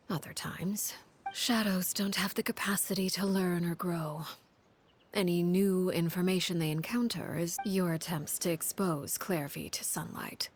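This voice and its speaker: A woman playing a cold, composed, menacing harbinger in a video game, telling things in a measured, formal, unemotional way. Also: lowish voice, slight background noise, lightly acted. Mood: cool, resolute. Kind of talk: dramatic storytelling in a video game.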